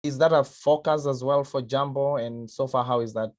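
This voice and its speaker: Man interviewing, mid-pitched. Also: steady tone, normal speed, darkish tone. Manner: brief, agreeable questions with fillers.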